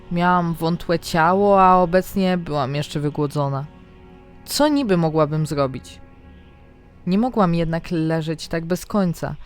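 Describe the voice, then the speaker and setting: A woman narrating a scripted fantasy diary in the first person, with dramatic, expressive storytelling. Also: fairly low pitch. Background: background music.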